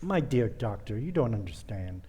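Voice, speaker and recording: A man acts a scheming criminal nephew in an old-time radio comedy. The voice is dark and mellow, with a commanding manner and a menacing politeness. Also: medium pitch, big pitch swings, moderate pace, a little hiss or hum, natural voice. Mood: gleeful scheming.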